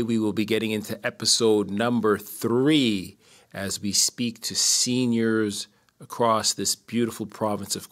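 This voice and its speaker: A man's voice: lowish voice, a bit bright. A man hosting a podcast interview in a community studio, chatting casually, repeating his guest's words and speaking straight to listeners. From Montreal, Canada. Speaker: podcast host.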